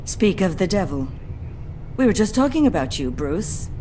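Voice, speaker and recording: A woman playing a stern, businesslike company chairwoman with a formal, measured manner in a video game. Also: mid-range voice, sing-song, mellow, a little hiss or hum, lightly acted. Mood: grim, cool.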